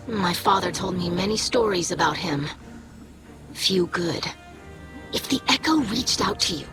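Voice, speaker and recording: A woman's voice: medium pitch, natural voice. An actress playing an eager, determined young female alien scholar in a video game, speaking earnestly and persuasively. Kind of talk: scripted video game dialogue.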